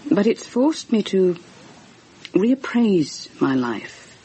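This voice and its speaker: A woman's voice: medium pitch, big pitch swings, slow pace, dark tone, husky, full character voice, low-quality recording. An actress playing a lonely, depressed woman writer of thirty-two, subdued and reflective, in a radio drama with narration. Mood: despondent. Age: 30s. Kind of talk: radio drama acting and first-person narration.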